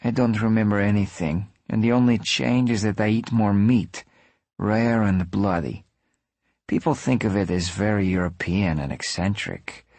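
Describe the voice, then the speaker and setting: A man voicing a playful, flirtatious woman zombie hunter with a breathy Czech accent, in an audiobook. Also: fairly low pitch, moderate pace, a little mellow, dry recording, pitched above the performer's own voice. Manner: playful, teasing.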